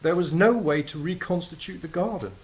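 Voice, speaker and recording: A man's voice: sits high, fairly quick, lightly acted. A man playing a dreamy, earnest, soothing angel helper in an audio drama, with a wistful manner. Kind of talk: stage-style audio drama acting.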